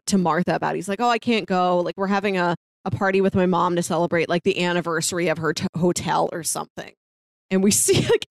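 A woman's voice: mid-range voice, dry recording. Enthusiastic woman chatting casually, dry close sound. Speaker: podcast co-host. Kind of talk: casual discussion of a TV drama.

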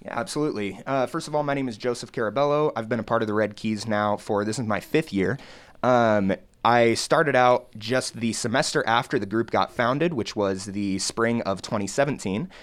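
A young man from Colorado, a college a cappella singer, answering studio interview questions in a relaxed way with frequent ums. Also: medium pitch, normal speed. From Denver, Colorado. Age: young adult. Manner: relaxed, lots of ums and uhs. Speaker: college a cappella vocal percussionist. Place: radio studio.

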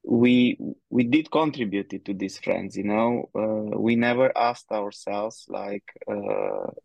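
A man speaks in a fairly low voice that has a gravelly edge. He pauses often and fills gaps as he thinks his answers through. The sound is dry, with no room around it. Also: sing-song, a little mellow, dry recording, dull audio. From Romania. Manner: hesitant, many fillers, thinks aloud. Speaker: guest, head of people. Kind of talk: conversational interview answers.

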